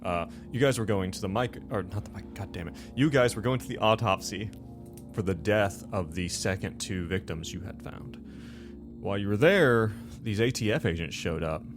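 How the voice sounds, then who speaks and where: Music plays behind a man with a very low voice. He hosts a tabletop game in a casual, rambling style, backtracking and correcting himself as he recaps. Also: moderate pace. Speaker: host and game master of a tabletop game show.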